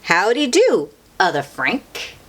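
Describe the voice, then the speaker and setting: Woman playing an earnest, animated storybook egg. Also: mid-range voice, slow, exaggerated voice. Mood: happy greeting. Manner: exclamatory, theatrical, expressive. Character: earnest, anxious good egg, a storybook narrator character.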